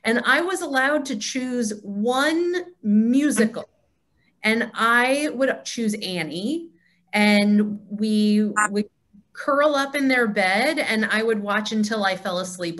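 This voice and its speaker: A woman's voice: medium pitch, unhurried, fairly bright tone. A woman hosting a casual group conversation, inviting others to share and speaking on a video call. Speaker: discussion host.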